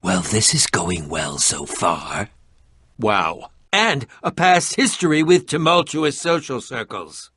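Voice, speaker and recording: A man's voice: medium pitch, expressive, slow pace, sharp tone, breathy edge, dry recording, studio quality, heavily acted. A man voicing a pompous, irritable, middle-aged eccentric adventurer and potion maker in a video game, with a dramatic, sardonic, fussy delivery. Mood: dry, sardonic. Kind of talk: animated video game voice acting.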